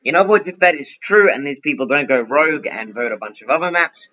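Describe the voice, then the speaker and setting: A man's voice: fairly high pitch, animated, fast talker, bright, forceful tone, dull audio. A young man giving casual, chatty commentary while playing an online game, fretting and pleading aloud as he plays. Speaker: gaming YouTuber.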